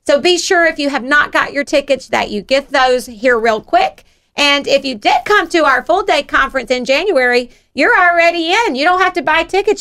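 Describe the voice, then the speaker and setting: A middle-aged woman with a Southern US accent and a high-pitched, creaky voice. She hosts a motivational radio show, chatting and encouraging listeners effusively. Her tone is bright and forward. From Georgia, United States. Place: radio studio.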